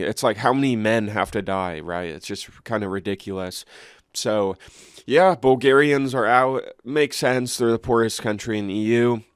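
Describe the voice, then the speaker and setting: Host type, a man with a stuffy nose and a low, clean, smooth voice, reads the news and then riffs casually, dry close mic. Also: no echo. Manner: reads articles aloud, then chats loosely with fillers. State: congested. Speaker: channel host. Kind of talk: news reading and casual commentary.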